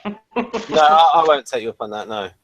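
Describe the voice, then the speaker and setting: A man's voice: mid-range voice, animated, fairly quick, soft background music. A man in casual debate as a panel reviewer, eagerly insisting on his points with stumbling restarts. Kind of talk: casual chat, explaining and debating TV trivia.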